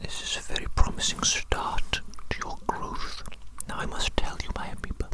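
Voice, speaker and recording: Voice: moderate pace, close to an everyday voice. A performer voicing an eccentric, doting evil doctor in a whispered ASMR roleplay, repeating phrases in soothing, formal wording. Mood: pleased, musing. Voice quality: breathy unvoiced whisper. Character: eccentric evil mad scientist doctor, doting creator, obsessed with science.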